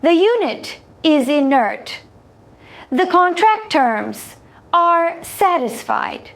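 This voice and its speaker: A woman voicing a formal, ceremonious insectoid alien contract broker in a science fiction audio story, inscrutably polite and deliberate. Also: extremely high pitch, very animated, vocal fry, fairly bright tone, full character voice. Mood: formal, inscrutable.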